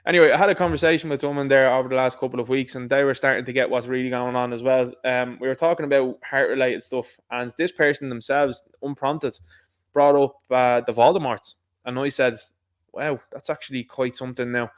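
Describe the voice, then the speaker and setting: Irish man, host, casual monologue, animated, middling pitch, acts out others' lines. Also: brisk, clear, resonant tone. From Ireland. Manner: conversational and animated, mimicking others in asides.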